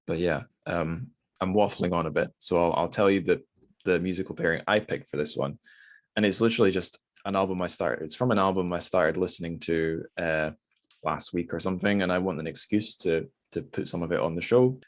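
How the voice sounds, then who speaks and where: A man's voice: low-pitched, a little fast. A man hosting a podcast, chatting casually about literature in a rambling, self-deprecating way. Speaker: podcast host.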